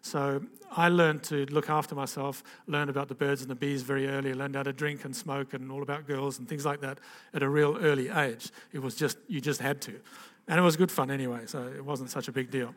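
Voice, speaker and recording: A man's voice: medium pitch, fairly even intonation, a little fast, fairly bright tone, long reverb tail. An older man gives an informal personal testimony to a gathering. He talks in a rambling, conversational way, with restarts and frequent "okay?" asides. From Zambia and Zimbabwe. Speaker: speaker giving a personal testimony.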